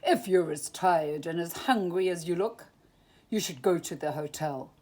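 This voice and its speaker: Lady doing a laid-back sheriff guy, drawly and slow. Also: on the low side, a little mellow. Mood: folksy. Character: lanky good-natured male sheriff.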